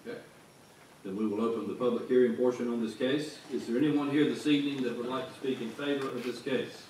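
A man's voice: medium pitch, fairly even intonation, quick pace, a little mellow, a little hiss or hum. A man chairing a planning commission's public hearing in a formal, procedural way. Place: large council chamber. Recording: echoey hall, mic far off.

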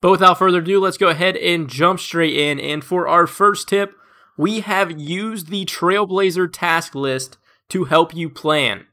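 A man with a stuffed-up, congested voice, narrating a scripted game tips voice-over as a gaming video creator, in an upbeat, steady tip-by-tip delivery. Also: on the high side.

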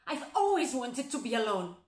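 Actress doing a heartbroken girl getting dumped, dramatic monologue, super animated voice, roomy echo. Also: a little high, moderate pace. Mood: hurt, defensive. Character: heartbroken young woman being dumped, feigning breezy indifference. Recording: echoey, roomy sound.

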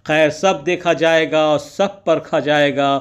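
A man's voice: a little high, steady tone, unhurried, smooth. A man narrating a vlog as a scripted voice-over, with ornate, poetic and theatrical phrasing. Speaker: vlogger narrating.

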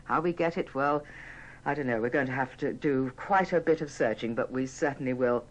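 A man's voice: medium pitch, a little fast. A man working as a radio continuity announcer, ad-libbing a polite, rambling apology live on air. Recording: dull band-limited old radio broadcast.

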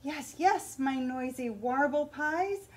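A woman voicing a tired, patient mother bird who speaks in soft, soothing coos. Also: high-pitched, expressive, slow, voice pushed up. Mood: weary, tender.

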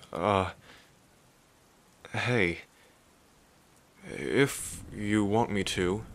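Slow, gentle pirate captain acted by a man. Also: low voice, heavily acted. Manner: soothing, hesitant, tender. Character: gentle, bashful male pirate captain comforting a companion. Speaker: ASMR roleplay voice actor. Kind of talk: soft-spoken ASMR roleplay.

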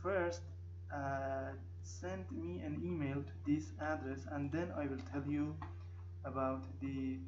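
A man with a non-native English accent narrates an unscripted screen-recorded software tutorial in halting, hesitant phrases. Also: on the high side, steady tone. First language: not English. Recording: dull lo-fi mic, faint background noise.

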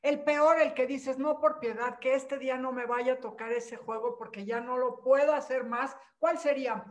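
A woman's voice: high-pitched, fairly even intonation, moderate pace. A middle-aged woman hosting an interview over a video call, friendly and teasing, with frequent short backchannel replies. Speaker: interviewer, host. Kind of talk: casual interview. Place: home room with bookshelves.

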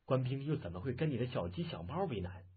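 Man reading stern young village hero, firm and unshaken; husky, lo-fi. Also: medium pitch, fast. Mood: calm resolve. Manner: firm, steady, calm under threat. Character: brave stern young male village swordsman. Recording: lo-fi phone-like audio.

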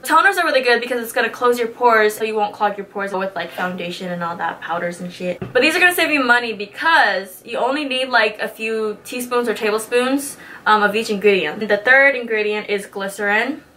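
Bright-voiced bubbly young woman vlogger. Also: fairly high pitch, a little fast, few pauses, a little hiss or hum. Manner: bubbly, slangy, swears casually. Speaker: beauty vlogger. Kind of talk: casual chatty tutorial. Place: small indoor studio, grey backdrop.

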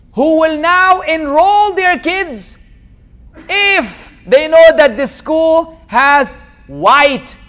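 Animated high-voiced man giving a lecture. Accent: American-sounding English. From Lebanon. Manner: animated, gesturing with both hands, acts out quoted people. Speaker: Islamic lecturer. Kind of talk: public lecture. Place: lecture hall, seated at a table. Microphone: small tabletop mic on a tripod.

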